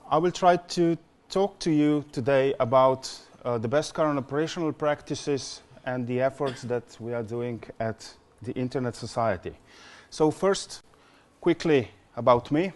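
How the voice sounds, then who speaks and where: A man in his forties from Slovenia, speaking English with a Slovenian accent as a non-native speaker, giving a conference presentation with many fillers and repeated words. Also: medium pitch.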